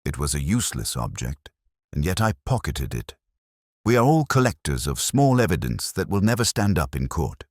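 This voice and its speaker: A man playing a melancholy, reflective police detective, narrating an audio drama in a measured, literary manner. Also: very deep voice, very animated, no room sound, clean studio sound, exaggerated voice. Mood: pensive. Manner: measured, literary, brooding delivery. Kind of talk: scripted first-person audio drama narration.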